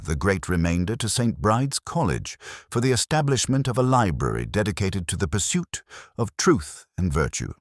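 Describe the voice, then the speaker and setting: A man reading scripted first-person narration of a detective mystery in a measured, brooding, literary manner. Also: deep voice, no echo, studio quality. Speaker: audio drama narrator.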